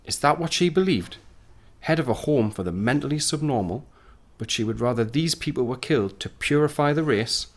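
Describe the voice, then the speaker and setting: A man's voice: mid-range voice, expressive, brisk. A man playing a wry narrator, telling a 1919 tale in a dramatic storytelling style for an audio drama.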